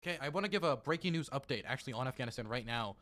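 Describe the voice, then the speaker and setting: Man, quick pace, measured hedging delivery, dry close sound. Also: mid-range voice, animated, a bit bright, dry recording. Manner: measured, hedging, some fillers. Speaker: roundtable moderator. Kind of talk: casual news update.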